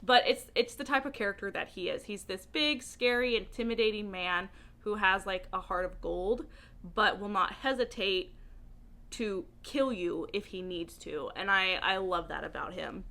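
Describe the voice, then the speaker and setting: A woman chatting casually and informally as a book vlogger, animated and emphatic, often giggly as she talks. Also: medium pitch, normal speed, creaky. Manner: giggly, laughs often while talking. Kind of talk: casual chatty video monologue.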